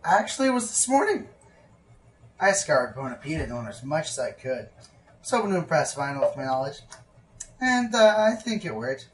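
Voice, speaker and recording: A man's voice: a little high, big pitch swings, normal speed. A man in a radio play voicing an awkward, hesitant, lovestruck young pony sound technician. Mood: smitten, boastful.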